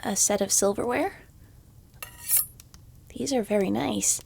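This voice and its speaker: A woman's voice: medium pitch, takes it slowly, vocal fry, background noise. A woman playing a weary, exasperated shopkeeper in a fantasy audio roleplay, reluctantly polite, with dry, muttered sardonic asides. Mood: flustered, incredulous.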